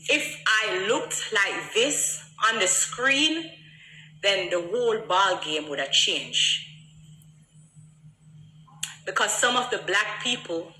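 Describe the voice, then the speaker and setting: A woman with a Jamaican accent talks straight into her phone, pressing one pointed rhetorical question after another. Her voice is bright and forward, and her middling pitch carries clearly. The room around her rings with a noticeable echo. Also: lively intonation, takes it slowly, pauses often, slight background noise. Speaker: artist answering critics. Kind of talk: direct-to-camera monologue.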